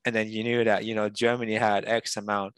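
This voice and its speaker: Man in even, low-pitched casual conversation. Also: fairly quick, smooth, no room sound.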